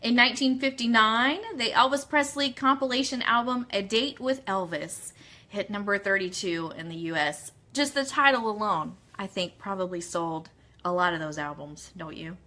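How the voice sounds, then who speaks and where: A woman's voice: mid-range voice, normal speed, bright, forceful tone. A woman hosting an online radio show in a chatty, bubbly, informal way, moving between news items and greetings to listeners. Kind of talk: chatty livestream hosting with news read-outs. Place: Orlando, Florida.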